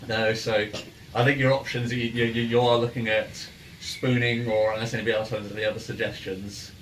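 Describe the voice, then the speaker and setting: A man acting as game master, casually narrating a tabletop game in a halting way with repeated phrases. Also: mid-range voice, level tone, fairly bright tone, reverberant. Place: indoor room, in-person game session. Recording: distant mic, clear background noise and ambience.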